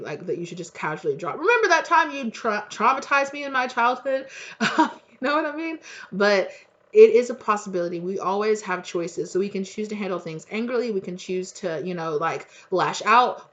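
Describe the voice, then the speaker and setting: A woman's voice: medium pitch, highs cut off. A woman from the United States reading tarot for her viewers in a rambling, chatty way, congested and coughing from allergies. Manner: chatty, rambling, laughs often. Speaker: tarot reader. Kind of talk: casual spiritual chat to viewers.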